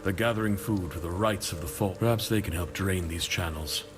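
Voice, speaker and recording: A man acting as a weary, dignified leader in a fantasy video game. His voice is low and rings in a long reverb, with music underneath. He speaks solemnly and formally, weighing his words. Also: brisk, close to an everyday voice. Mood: earnest, authoritative. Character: grave, burdened male leader, diplomatic and weary.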